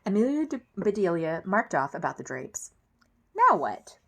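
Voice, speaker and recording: A woman reading children's books aloud in an expressive way and chatting to listeners about the pages and pictures. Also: medium pitch, moderate pace, gravelly, husky. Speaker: reader of children's books for an online series. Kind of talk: animated read-aloud storytelling with comments to viewers.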